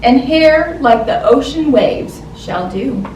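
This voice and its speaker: Woman putting on a haughty, high-voiced storybook queen. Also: animated, slow, darkish tone, roomy sound, far from the mic, a little hiss or hum, rough recording. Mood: particular, hopeful. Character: demanding, particular storybook queen wishing for a child.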